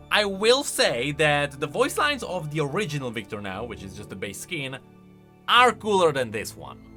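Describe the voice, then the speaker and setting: A man delivers casual commentary on game lore in a bright, slightly high voice. His pitch swings in a lively way as he reasons aloud and stresses his points. Soft music plays behind him. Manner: expressive, emphatic, thinking aloud.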